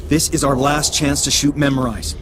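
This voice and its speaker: A man's voice: mid-range voice, little pitch movement, moderate pace, noisy background, lightly acted. An actor playing a driven male rebel leader in a video game, giving orders in dramatic, cryptic, poetic phrases. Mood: commanding, intense. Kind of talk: video game voice acting, mission briefing.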